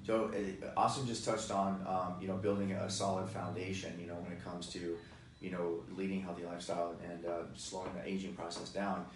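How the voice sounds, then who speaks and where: A man in his forties speaks with a very deep voice, talking fitness in a loose podcast chat. He is emphatic and energetic, leaning on "you know" and "right?". The mic is distant in an echoey room, with faint noise. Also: a little fast. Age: 40s. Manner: energetic, emphatic, many fillers. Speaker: fitness guest expert.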